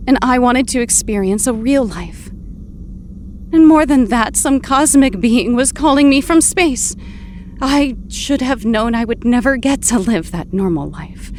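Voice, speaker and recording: Casting: vulnerable, earnest superheroine, higher-pitched, halting delivery, some noise behind her. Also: animated, darkish tone. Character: earnest, confused heroine returned from death. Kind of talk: audio drama acting.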